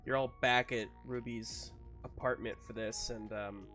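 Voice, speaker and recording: A man running a tabletop roleplaying game, improvising narration and characters in a halting, searching way. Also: medium pitch, fairly even intonation, fairly bright tone. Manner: halting, searching delivery with repeated words. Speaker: game master. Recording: music running behind the voice.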